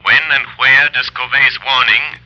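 An actor playing a grave, commanding crime-fighting avenger with a deliberate, authoritative manner in 1940s radio drama style. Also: male voice, medium pitch, steady tone, pushed and bright. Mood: grave, resolute. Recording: dull old radio recording.

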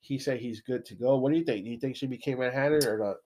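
A man with a stuffy nose co-hosting a podcast, recapping plot in a relaxed way, full of "kinda" and "you know". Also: medium pitch, fast. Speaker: podcast co-host. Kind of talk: casual chat.

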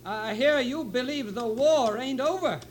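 Rustic male soldier, extremely high voice, wary, stammers under pressure, vintage western radio drama. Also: unhurried. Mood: wary, defiant. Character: plain-spoken male rural soldier, wary but defiant. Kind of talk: 1950s radio western acting. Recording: slightly noisy old radio recording.

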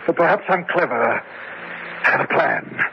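An actor playing a proud, macabre gentleman sorcerer in a campy horror radio drama, speaking formally and grandly. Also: a little high, expressive, moderate pace. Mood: strained, alarmed. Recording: dull, faintly noisy old radio recording.

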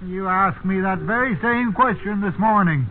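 An actor playing a cantankerous, grumbling old farmer with a rural English accent in a 1950s radio drama. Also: male voice, high voice, moderate pace, lightly acted. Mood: crotchety, irritated. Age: older. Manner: grumbling, rustic. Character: cantankerous old English turnip farmer, plain-spoken and stubborn. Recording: tinny, noisy 1950s radio recording.

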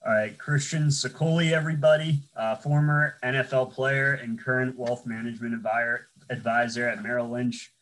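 A man's voice: mid-range voice, fairly even intonation, moderate pace, hardly any pauses, a bit bright, rough recording. Young male host, loose and rambling, filler-heavy casual delivery. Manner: rambling, filler-heavy, says "man" often. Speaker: interview host. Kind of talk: casual interview.

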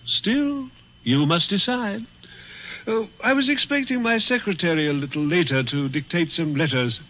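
Sly old villain, swooping voice. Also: male voice, sits high, expressive, moderate pace. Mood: silky menace. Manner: suave, hesitant, chuckling politeness with a taunting edge. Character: sly, gloating elderly male villain, mock-courteous and menacing. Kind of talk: 1940s radio suspense acting. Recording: muffled 1940s radio recording.

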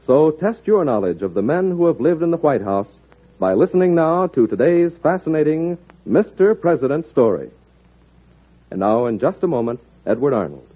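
An American man delivers an earnest old-time radio introduction in a husky, medium-pitched voice. His intonation is lively and oratorical, and the recording is thin and band-limited. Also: moderate pace. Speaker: radio program presenter. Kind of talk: 1940s radio scripted address. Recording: tinny vintage radio recording.

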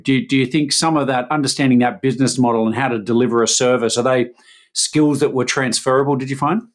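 Casual male podcast host. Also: mid-range voice. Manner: casual, halting with fillers and restarts. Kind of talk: conversational interview.

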